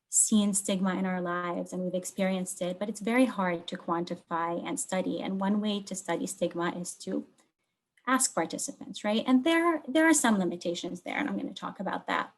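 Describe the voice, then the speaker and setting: A woman from Lebanon giving an explanatory research talk, speaking in short, measured phrases and stressing key words. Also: fairly low pitch, poor audio. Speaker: academic researcher presenting.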